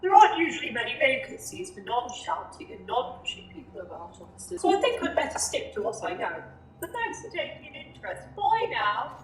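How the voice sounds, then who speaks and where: Woman playing a high-voiced, dim, shouty alien guard. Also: very animated. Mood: dutiful, chatty. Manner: bellowed catchphrases, halting reflective answers. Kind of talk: comic amateur radio drama acting. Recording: roomy echo with clear background noise.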